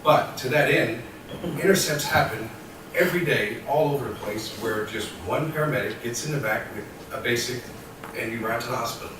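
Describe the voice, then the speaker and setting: A man who works as a paramedic, arguing his point forcefully at a public meeting. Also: mid-range voice, a little fast, background noise. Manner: forceful, argumentative. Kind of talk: meeting debate. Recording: echoey room from far off.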